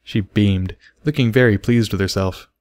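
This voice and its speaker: Low-voiced man narrating a story. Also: moderate pace, darkish tone, no room sound. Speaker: audiobook reader.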